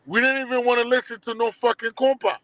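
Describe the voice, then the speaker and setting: A middle-aged man with a Haitian accent, guest on a casual livestream interview, telling animated stories full of slang and swearing. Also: very high voice, fast talker, dry recording. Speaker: guest, documentary producer.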